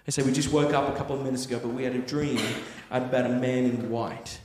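A man's voice: medium pitch, steady tone, fast, darkish tone, a little hiss or hum. A man preaching a sermon, telling a story in an earnest, conversational way with frequent tag questions. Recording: echoey hall with long reverb.

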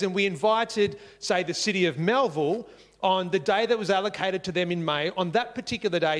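A man's voice: high-pitched, long reverb tail. A man preaching a sermon in earnest, repeating key phrases for emphasis. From Singapore. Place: church.

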